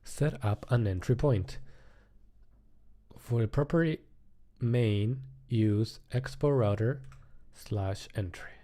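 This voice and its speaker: Low mellow guy live coding, kinda mumbling to himself, unsure, casual. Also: slow pace. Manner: hesitant, muttering to himself.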